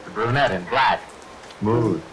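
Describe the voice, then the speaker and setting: A man's voice: lowish voice, unhurried, loud music behind the voice, close to an everyday voice, poor audio. A man playing a chatty, teasing lieutenant in a 1940s radio mystery, acting expressively on a vintage recording. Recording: vintage radio recording, crowded rainy background.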